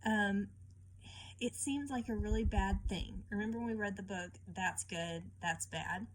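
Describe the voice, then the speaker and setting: Expressive woman reading aloud, noisy recording. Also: medium pitch, measured pace. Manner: expressive storytelling, often asking the listener questions. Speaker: children's Bible lesson teacher reading aloud. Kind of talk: picture-book read-aloud and informal teaching to children.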